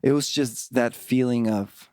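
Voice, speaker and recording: A middle-aged Chilean man, Spanish his first language, telling his story in a casual interview, halting with fillers and restarts. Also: medium pitch, steady tone, warm tone, smooth, no echo, clean studio sound. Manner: halting, many fillers and restarts, frequent laughs. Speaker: worship pastor, interview guest.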